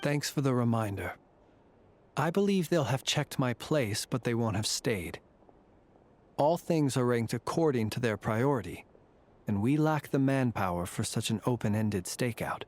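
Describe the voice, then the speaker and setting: An actor playing a calm, ancient, world-weary fae warrior in a video game, with measured, formal, reflective phrasing. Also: male voice, medium pitch, a little hiss or hum, lightly acted. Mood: calm, reassuring.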